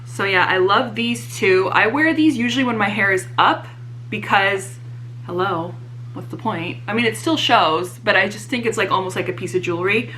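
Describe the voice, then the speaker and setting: A young woman vlogger, slightly hoarse, chatting casually and teasing herself about her purchases. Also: medium pitch, normal speed, bright, forceful tone, a little hiss or hum, rough recording. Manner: chatty, self-mocking, many fillers. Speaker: fashion vlogger. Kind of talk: casual haul chat to camera.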